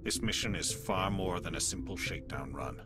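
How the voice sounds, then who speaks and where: Cryptic alien agent guy, man voicing him, low calm voice, heavy music under it. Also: lightly acted. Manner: measured, cryptic. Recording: game audio under music.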